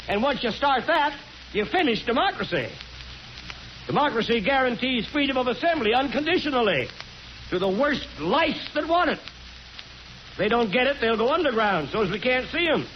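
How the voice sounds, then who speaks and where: Folksy high-pitched mayor on old radio. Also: male voice. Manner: folksy oratory, colloquial and forceful. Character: folksy, stubborn small-town mayor defending free assembly. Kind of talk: 1940s radio drama acting, public speech. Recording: dull, noisy 1940s radio recording.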